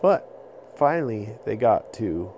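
A man telling an improvised bedtime story to children in simple, explanatory phrases with pauses. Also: on the low side, big pitch swings, a little mellow, slight background noise. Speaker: podcast storyteller.

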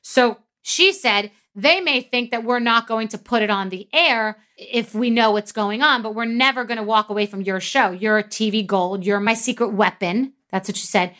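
Blunt lady telling a story, swears a lot, bright and fairly high voice. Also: moderate pace, few pauses. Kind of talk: casual storytelling.

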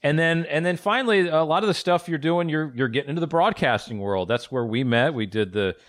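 A man's voice: on the high side, lively intonation, a little fast, no echo. A man hosting a podcast, asking questions in an upbeat, friendly way. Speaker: podcast host. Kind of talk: podcast interview.

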